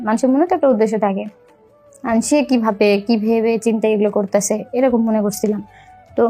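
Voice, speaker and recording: A young woman with a Bangladeshi accent telling a personal story in a halting, repetitive, colloquial way. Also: fairly high pitch, mellow. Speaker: storyteller recounting own life. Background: music.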